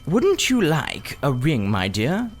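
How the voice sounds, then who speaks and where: A man voicing a sly old gentleman magician in a storybook, with oily, cunning, drawn-out politeness and false kindness. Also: fairly high pitch, huge pitch swings, faint music behind, pitched above the performer's own voice, full character voice. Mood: coaxing. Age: older. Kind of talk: children's storybook character reading.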